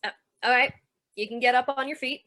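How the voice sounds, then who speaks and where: A woman's voice: high-pitched, fairly even intonation, fairly quick, bright, forceful tone. A woman playing a tough, suspicious carnival manager, brusque and slangy, in old-time radio noir style. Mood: guarded, businesslike.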